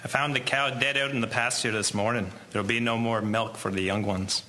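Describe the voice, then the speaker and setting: Man doing stern farmer husband, very bright forceful voice, superstitious, scolding. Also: medium pitch, fairly even intonation, fairly quick, natural voice, poor audio. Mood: grim.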